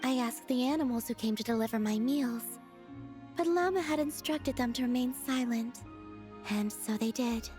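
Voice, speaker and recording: A woman playing a serene, gentle young moon goddess in a video game, speaking softly in a calm, formal, measured way. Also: a little high, faint music behind, close to an everyday voice. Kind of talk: video game character acting.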